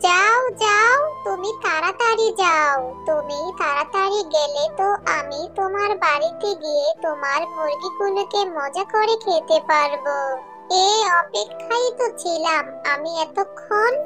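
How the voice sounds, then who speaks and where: Squeaky-high scheming cartoon eagle. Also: female voice, a little slow, pushed and bright, faint music behind, exaggerated voice. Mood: greedy, impatient. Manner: grumbling self-talk. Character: greedy, scheming, grumbling thieving eagle. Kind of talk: cartoon character acting.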